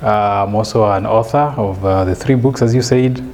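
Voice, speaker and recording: A man in his thirties with a Kenyan accent, a guest in a studio interview, telling stories in a measured way with fillers and restarts, on a lapel mic. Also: lowish voice, darkish tone, a little hiss or hum. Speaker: guest, author and business speaker. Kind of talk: storytelling interview answer. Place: studio set, seated in an armchair.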